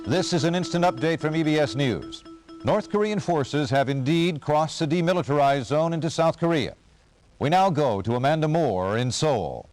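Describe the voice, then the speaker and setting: A man's voice: on the high side, expressive, normal speed, darkish tone, natural voice. News anchor , male actor: very varied intonation, formal dramatic game bulletins, light music beneath. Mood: grave, urgent. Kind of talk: broadcast news delivery in a game. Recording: faint music under the voice.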